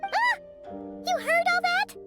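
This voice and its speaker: A woman's voice: very high-pitched, slow pace, loud music behind the voice, full character voice. A woman voicing a tiny, chatty, childlike fairy companion in an anime-style game, bubbly and cheeky. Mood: flustered. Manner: speaks of self in third person, bubbly, expressive.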